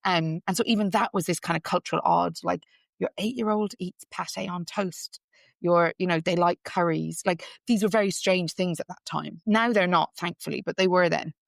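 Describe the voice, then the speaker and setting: Female interview guest, Irish-London mixed accent, gravelly, reflective, dry close recording. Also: on the low side, fairly even intonation, normal speed, dry recording. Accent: Irish-English mix, heard as English in Ireland. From Ireland, raised partly in London. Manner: thoughtful, many restarts and fillers.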